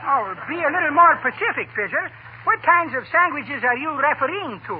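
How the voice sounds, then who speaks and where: Excitable candy seller, muddled English, very high voice, radio comedy, tinny old recording. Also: male voice, fairly quick, a little hiss or hum. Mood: anxious. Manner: comically muddled grammar and malapropisms. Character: excitable immigrant candy-shop owner mangling his English. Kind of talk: 1940s radio comedy acting.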